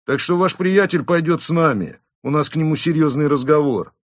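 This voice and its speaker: A man's voice: on the high side, a little fast, no echo, clean studio sound, voice pushed up, natural voice. A man voicing a stern, bossy moose policeman in a storybook, pompous and commanding, barking out orders. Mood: ominous, smug.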